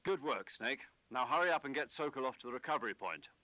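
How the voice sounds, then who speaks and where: An actor playing a brisk, commanding military officer directing a mission in a video game. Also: male voice, mid-range voice, animated, pushed and bright, no echo. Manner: brisk, authoritative.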